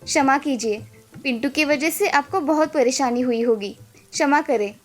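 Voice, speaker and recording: A woman voicing an apologetic young mother, explaining earnestly in an animated fairy tale. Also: sits high, moderate pace, lightly acted.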